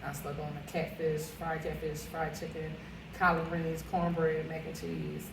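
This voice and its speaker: Woman guest, caterer, rambling casual talk; lowish voice, roomy, noisy, off-mic recording. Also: completely flat delivery, unhurried, recorded from a distance. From Philadelphia. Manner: chatty, rambling, with many fillers. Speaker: caterer and realtor, interview guest. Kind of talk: casual interview conversation. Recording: echoey room, off-mic, noisy.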